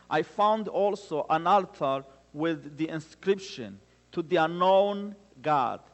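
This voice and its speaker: A man with a Rwandan accent delivers a church testimony in a slightly high voice. He speaks slowly and earnestly, phrase by phrase, and his words ring through a long hall reverb. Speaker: guest speaker giving testimony. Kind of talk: church testimony with scripture readings.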